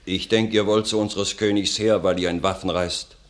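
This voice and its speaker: An actor playing a humble, hospitable charcoal burner who warns travellers of nearby enemies, in a classic verse radio drama. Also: male voice, low voice, steady tone, moderate pace, close to an everyday voice. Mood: warning. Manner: plain-spoken, cautious.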